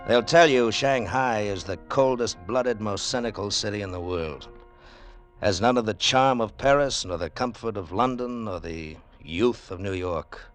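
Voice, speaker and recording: An actor in 1940s radio style playing a wry, world-weary adventurer, terse and measured, on a noisy vintage recording. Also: male voice, on the low side, soft background music, close to an everyday voice. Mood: tense, reflective. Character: hard-boiled, wry male adventurer narrating his tale. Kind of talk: 1940s radio acting and first-person narration.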